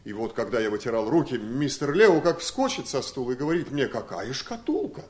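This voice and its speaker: An actor playing a simple, talkative, sly household servant telling things in an animated way in a radio play. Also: male voice, mid-range voice, a little fast, natural voice. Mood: sly, pleased. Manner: chatty, animated storytelling. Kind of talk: radio play acting in Russian.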